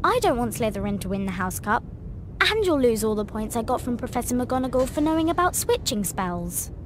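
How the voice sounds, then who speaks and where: High-voiced, prim schoolgirl witch. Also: very animated, brisk, noisy background. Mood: indignant. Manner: scolding, earnest, precise. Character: prim, bossy, know-it-all schoolgirl witch. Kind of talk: video game voice acting.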